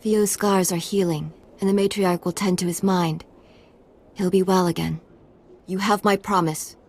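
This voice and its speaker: A woman voicing a grateful, gentle alien mother in a video game, husky, soft and sincere. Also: on the low side, steady tone, unhurried. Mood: grateful, solemn. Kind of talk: video game cutscene acting.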